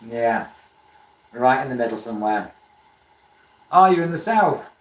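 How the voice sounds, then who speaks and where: A man's voice: mid-range voice, lively intonation, a little slow, roomy sound, recorded from a distance, rough recording. A man with a South Welsh accent chatting casually while he works, greeting viewers by name with plenty of fillers and a friendly manner. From Wales. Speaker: woodturning livestream host. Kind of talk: casual live chat while working. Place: workshop with a running lathe.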